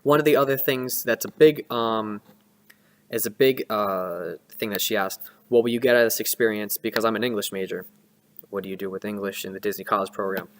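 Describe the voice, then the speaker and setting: A man's voice: on the low side, fairly even intonation, a little fast. A young adult man talking casually and conversationally, rambling through personal advice and often restarting his sentences. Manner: rambling, conversational, self-interrupting. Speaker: student vlogger. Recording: reverberant room with long echo.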